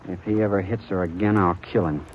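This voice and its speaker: A man acting as a proud, nervous young American frontiersman in a golden-age radio western. He speaks in a fairly low voice with halting rustic politeness. The old recording is muffled and faintly noisy. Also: steady tone, lightly acted. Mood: grim, resolute.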